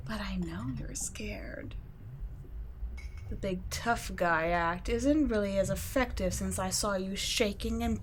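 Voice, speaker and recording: A woman's voice: mid-range voice, a little hiss or hum, natural voice. A woman voicing a cool, condescending female vampire scientist in an ASMR audio roleplay, speaking in a teasing, patronizing way and slipping into whispers. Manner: teasing, patronizing, soft-spoken with whispered asides.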